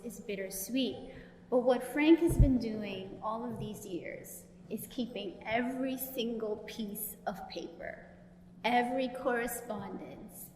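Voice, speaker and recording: A woman's voice: mid-range voice, a little mellow. A woman with a Guyanese accent, speaking at a public panel talk in a gallery, telling stories and laughing easily. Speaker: panelist and professor. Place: gallery event space. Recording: echoey gallery room with long reverb.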